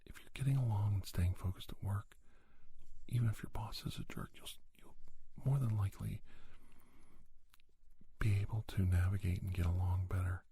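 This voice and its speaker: A man hosting an ASMR podcast, giving personal advice in a soft, breathy whisper with deliberate, repetitive phrasing. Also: fairly low pitch, fairly even intonation, dry recording. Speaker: podcast host.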